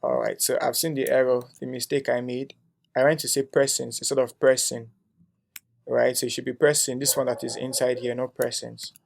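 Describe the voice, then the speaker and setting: A man's voice: mid-range voice, little pitch movement, normal speed, gravelly. A young man teaching a coding tutorial, thinking aloud as he types, with frequent "um"s and a tag "right?". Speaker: coding instructor. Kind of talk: screen-share coding tutorial.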